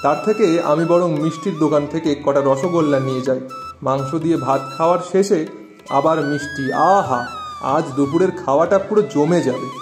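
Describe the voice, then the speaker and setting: A man's voice: fairly high pitch, a little fast, music in the background, lightly acted. A man playing a hospitable village working man in a story cartoon, chatting in a hearty, familiar way. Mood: cheerful. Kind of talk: Bengali moral-story cartoon dubbing.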